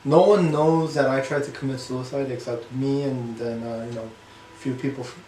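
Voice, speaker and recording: Young guy interview guest, halting, lotsa fillers, monotone, echoey room. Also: mid-range voice, far from the mic, slight background noise. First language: Korean is his second language; English first. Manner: halting, many fillers, speaks softly about hard memories. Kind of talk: candid personal interview.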